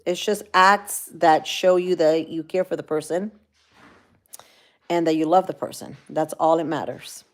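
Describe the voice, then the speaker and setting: A woman with a Cuban accent chats casually on a livestream, talking straight to her viewers and reading their comments aloud. Her voice sits a little low, and she rambles along with plenty of fillers. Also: fairly even intonation, measured pace. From grew up in Florida. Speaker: livestream host.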